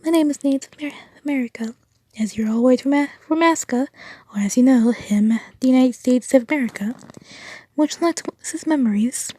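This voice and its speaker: A woman voicing a soft, eerie female guide who shows others memories, in a whispery voice. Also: high voice, fairly quick, no echo, pitched above the performer's own voice. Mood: ominous.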